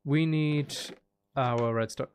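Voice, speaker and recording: A man giving casual gameplay commentary as a streamer, thinking out loud and chatting with viewers. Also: mid-range voice, slow pace, slight background noise. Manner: thinks out loud, sweary, banters at game characters. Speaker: game streamer.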